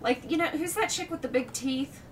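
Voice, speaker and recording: A woman's voice: high-pitched, normal speed. A woman co-hosting a podcast in casual, irreverent, freely profane banter. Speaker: podcast co-host. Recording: distant mic, noisy background.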